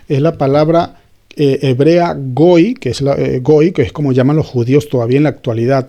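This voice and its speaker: A man's voice: on the high side, dark tone. A man giving an informal Bible teaching, talking conversationally with frequent restarts and checking questions to his listeners. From Spain.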